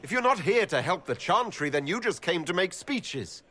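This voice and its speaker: A man's voice: high voice, sing-song, moderate pace, pushed and bright, heavily acted. An actor playing a level-headed, defiant young male elf hero, plain-spoken and firm, in a fantasy video game.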